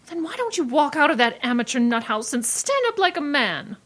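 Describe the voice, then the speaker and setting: A woman playing a self-possessed, sharp-tongued young woman with an arch, theatrical manner in a radio mystery. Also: high voice, big pitch swings, brisk. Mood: arch, teasing. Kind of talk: old-time radio mystery acting.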